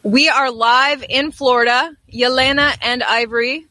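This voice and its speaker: A woman's voice: sits high, steady tone, measured pace, sharp tone, no room sound. A woman hosting a casual live chat show in an upbeat, informal way. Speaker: talk show host.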